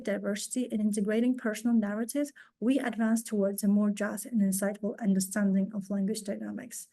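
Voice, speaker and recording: A woman's voice: medium pitch, dark tone. A young woman from a post-Soviet region, a PhD student, giving a formal, carefully read conference presentation in English, which is not her first language. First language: not English; also speaks Russian.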